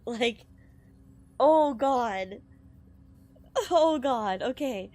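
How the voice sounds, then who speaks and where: Animated, higher-pitched woman streaming a game. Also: drawn-out words. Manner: slangy, exclamatory, swearing. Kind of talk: casual gameplay reaction commentary. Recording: music behind the voice. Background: game music.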